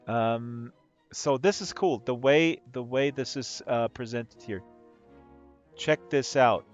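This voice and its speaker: Hoarse man rambling excitedly, dull lo-fi audio. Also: mid-range voice, slow. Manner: excitable, rambling, gushing with amazement. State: hoarse from talking all day. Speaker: live streamer, virtual world tour guide. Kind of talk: casual live-stream commentary. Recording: dull lo-fi stream audio with music underneath. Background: music playing.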